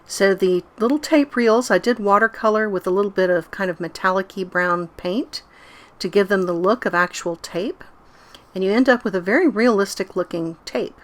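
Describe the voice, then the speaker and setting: A woman's voice: mid-range voice, normal speed. A middle-aged woman narrating a casual craft tutorial as its host.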